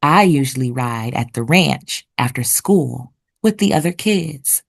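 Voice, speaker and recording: Lady reading a kids picture book, real slow, creaky low-ish voice, careful teacher-style expression. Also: lively intonation. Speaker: read-aloud host and narrator. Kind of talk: children's picture book read-aloud with vocabulary teaching.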